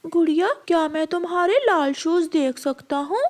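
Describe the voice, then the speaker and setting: A woman's voice: extremely high pitch, moderate pace, darkish tone. A woman voicing a mischievous, scheming young boy bird with a childlike, eager manner in a cartoon. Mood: coaxing. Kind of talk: Hindi moral-story cartoon dialogue.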